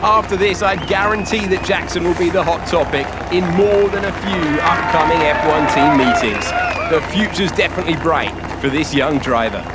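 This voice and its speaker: A man's voice: a little high, normal speed, very noisy, close to an everyday voice. An actor playing a confident, polished male motorsport commentator in a game. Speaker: race commentator. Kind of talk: race commentary. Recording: loud music and crowd behind.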